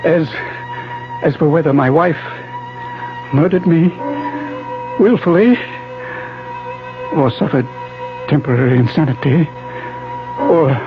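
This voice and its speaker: Loud music swells behind a muffled old radio drama recording. A gravely wounded professor narrates in halting, dramatic phrases. His voice sits fairly high for a man. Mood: weary, halting. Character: gravely wounded male professor recounting a violent attack. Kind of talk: 1970s radio drama acting.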